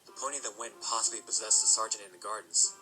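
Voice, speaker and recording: Quick-talking wry young stallion played by a man. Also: fairly low pitch, fairly even intonation, over music, lightly acted. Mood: reasoning. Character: guarded young male pony, wry and stubbornly reasoning. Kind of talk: audio drama acting.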